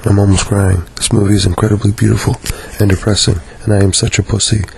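Gravelly deadpan man ranting. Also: on the low side, fast talker. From Canada. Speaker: video diarist. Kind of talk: scripted sardonic monologue. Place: living room by a window.

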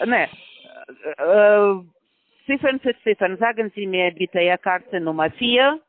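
A man as a phone scammer, speaking halting, broken German patiently and repetitively on a phone call. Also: sits high, lively intonation. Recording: tinny phone line.

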